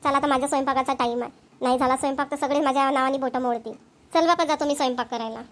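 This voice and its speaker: A woman's voice: sits high, steady tone, rapid-fire, fairly bright tone. A woman voicing a complaining, overworked young daughter-in-law in a family comedy cartoon, with grumbling colloquial chatter.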